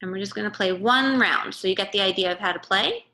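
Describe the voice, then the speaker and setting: A woman's voice: medium pitch, fast talker, sharp tone, low-quality recording. A woman teacher giving a remote read-aloud lesson for young children, explaining simply and often checking in with a short question. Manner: instructive, checks in with "okay" and "right?". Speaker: early-grade teacher.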